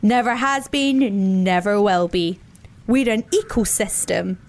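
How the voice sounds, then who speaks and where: A woman voicing a big, sensible male brown bear in a children's story, speaking slowly and emphatically. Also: medium pitch. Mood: amused, firm.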